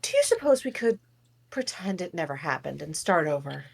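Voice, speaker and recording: A woman's voice: low voice, big pitch swings, normal speed, creaky, slightly rough, exaggerated voice. A fussy, eager-to-please royal father, read by a woman, with a voice that swoops wildly up and down. The delivery is overly polite and ingratiating. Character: pompous male king, anxious to make amends. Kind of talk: amateur table read.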